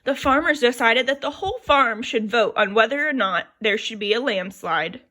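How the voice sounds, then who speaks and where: A woman reading a children's picture book aloud in an expressive, lively storytelling manner. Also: a little high, moderate pace.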